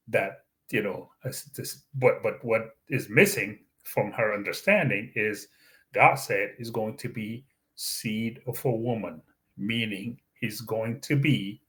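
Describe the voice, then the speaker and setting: Slow-speaking man, Arabic native speaker. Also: on the low side, fairly bright tone. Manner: slow, deliberate, with frequent fillers and restarts. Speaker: YouTube channel host. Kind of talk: Bible teaching monologue.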